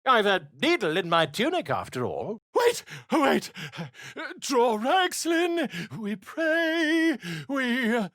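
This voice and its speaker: A man's voice: extremely high pitch, very animated, takes it slowly, fairly bright tone, no echo, exaggerated voice. Video game role: a flamboyant, grandiloquent male chronicler, sing-song delivery, dry close recording. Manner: grandiloquent, theatrical flourishes.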